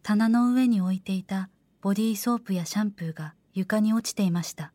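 A woman narrating a scripted horror radio drama in the first person, in a measured, formal storytelling manner. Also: medium pitch, mellow, no room sound.

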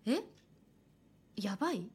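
A woman's voice: medium pitch, very animated, slow pace, a little mellow, husky, full character voice. An actress playing a cheerful young woman, an aspiring manga artist who reassures others though a little uneasy, in a Japanese horror radio drama. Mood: puzzled, curious. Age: young adult. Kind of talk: Japanese horror radio drama acting with first-person narration.

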